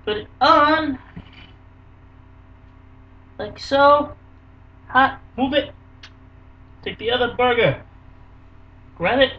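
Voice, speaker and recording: A man, hosting a casual home cooking video in a small kitchen with energetic, hammy showman patter. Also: very high voice, very slow, pauses often, distant mic. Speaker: cooking show host. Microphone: camera mic close by. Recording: dull lo-fi webcam audio from a bit off-mic.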